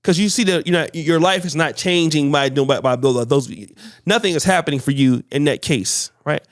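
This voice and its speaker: A man's voice: a little high, moderate pace, dry recording, clean studio sound. A man hosting a podcast discussion, often stumbling and repeating words as he searches for his phrasing. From United States. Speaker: podcast host.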